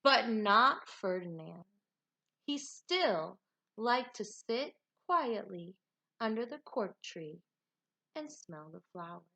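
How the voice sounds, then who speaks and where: Female elementary teacher, bright and lively, expressive read-aloud delivery for kids. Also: medium pitch, slow, vocal fry. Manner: animated, expressive delivery addressed to young pupils. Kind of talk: read-aloud lesson for children.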